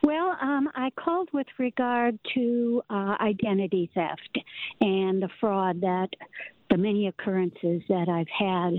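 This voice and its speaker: A woman's voice: mid-range voice, a little slow, no room sound. A woman from Chicago with a Chicago accent, calling in to a radio show, polite and hesitant, with fillers. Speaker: caller. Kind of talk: phone call to a radio show. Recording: tinny dry phone line.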